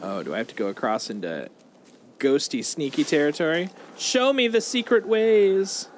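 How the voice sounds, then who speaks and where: A man's voice: on the high side, expressive, moderate pace. A playful, goofy man chatting casually as he streams a game, often riffing in a sing-song way. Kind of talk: casual gameplay chat. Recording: loud game noise behind. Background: video game sound effects.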